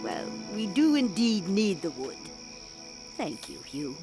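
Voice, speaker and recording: A woman plays a fond, fussing village dame in a period audio drama. The delivery is unhurried and richly varied in pitch, with gentle, formal wording. Music is heard behind. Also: very animated. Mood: fond, amused. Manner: gentle, old-fashioned formal speech.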